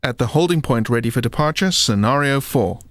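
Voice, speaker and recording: A man's voice: medium pitch, animated, fairly quick. A man giving short scripted announcements of exercise scenarios.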